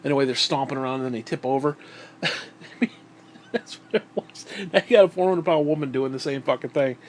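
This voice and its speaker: A man's voice: medium pitch, quick pace, a little mellow, a little hiss or hum. A man with a stuffed-up, nasal voice, talking in a bitter, profane, rambling monologue into a tablet. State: stuffed-up nose. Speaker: vlogger.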